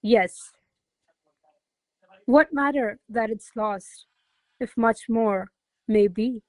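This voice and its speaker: Lady playing a proud teasing countess, formal n theatrical, highish voice, no room sound. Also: drawn-out words, no echo, low-quality recording. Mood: imperious. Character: proud, shrewd noblewoman teasing her secretary. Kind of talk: verse stage comedy, recited as radio play.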